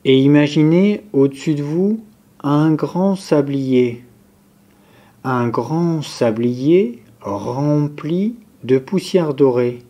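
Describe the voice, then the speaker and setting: A man guiding a yoga nidra relaxation session, giving calm, measured, step-by-step instructions. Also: fairly high pitch. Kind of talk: guided relaxation meditation, instructions spoken slowly to a group.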